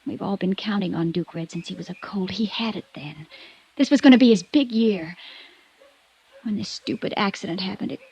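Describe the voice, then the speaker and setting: An actress playing a spoiled, pouting rich young woman in a 1950s radio drama, coy and teasing but quick to get defensive. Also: mid-range voice, darkish tone, husky. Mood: upset. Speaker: rich horse owner's daughter. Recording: dull dry vintage radio recording.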